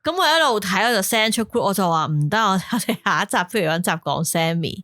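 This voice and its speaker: A woman's voice: mid-range voice, no room sound. Chatty Hong Kong Cantonese woman, dry mic. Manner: animated storyteller, quick asides. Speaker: podcast co-host. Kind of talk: casual chat.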